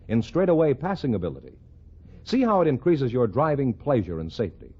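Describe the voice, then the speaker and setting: American guy reading an old 50s radio commercial, very up and down pitch, dull sound. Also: slightly rough. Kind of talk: 1950s radio advertising read. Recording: dull vintage radio broadcast recording.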